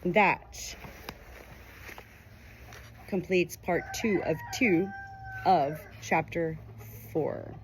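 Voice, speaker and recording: A woman's voice: on the low side, drawn-out words, pauses often, a little hiss or hum, rough recording. A woman reading a nonfiction book aloud as scripted audiobook narration. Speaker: audiobook reader.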